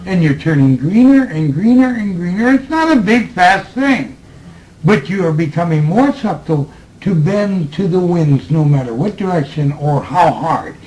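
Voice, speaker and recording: A man talking slowly and reflectively to a small group in a room, recorded on tape, with laughs between his remarks. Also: sits high, lively intonation, darkish tone, rough recording. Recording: old tape recording with faint hiss.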